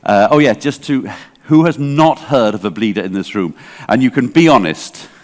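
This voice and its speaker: A man's voice: medium pitch, animated, moderate pace. A man in his sixties with an English accent, giving a chatty, digressive conference talk full of fillers. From England. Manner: chatty, digressive, self-deprecating, many fillers. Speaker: library association director. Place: large hall. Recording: long hall reverb.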